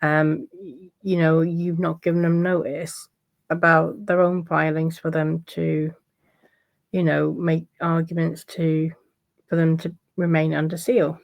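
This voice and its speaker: A woman with a croaky, gravelly voice sitting a little low, walking through legal filings in a relaxed, chatty commentary. The sound is dry and close, with no room around it. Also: unhurried, no room sound. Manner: talks through court filings in a loose, conversational way.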